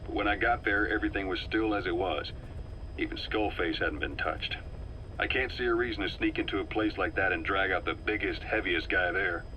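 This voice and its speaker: An actor playing a wary, brooding veteran intelligence operative, giving briefings in a measured, grave manner for a game. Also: male voice, low voice, pushed and bright, slight background noise, poor audio. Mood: uneasy, skeptical. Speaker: briefing officer. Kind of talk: dramatic game briefing dialogue.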